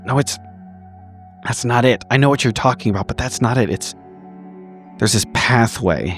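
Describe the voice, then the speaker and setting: A man playing a tabletop roleplaying game, improvising in character with halting, repetitive, swear-laden phrasing. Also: medium pitch, faint music behind. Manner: halting, repetitive, profanity-laced speech. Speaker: tabletop roleplay podcast player.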